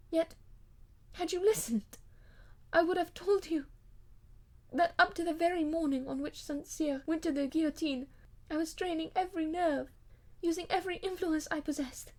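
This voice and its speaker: Audiobook role: woman reader as young aristocratic wife, high voice, proud yet imploring, vehement, old-fashioned diction. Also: dark tone, natural voice. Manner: vehement, pleading, theatrical old-fashioned speech. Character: proud, impassioned young noblewoman pleading with her husband.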